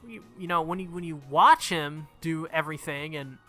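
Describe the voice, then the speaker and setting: A man's voice: fairly high pitch, moderate pace, pushed and bright. A man who makes gaming videos, reading visual novel text aloud and commenting casually on the game. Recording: music behind the voice. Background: game music.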